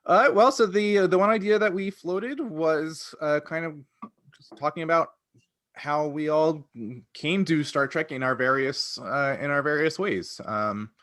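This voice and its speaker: Podcast co-host, male, somewhat high and bright voice, relaxed conversational delivery with dry jokes. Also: a little slow. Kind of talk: casual group chat.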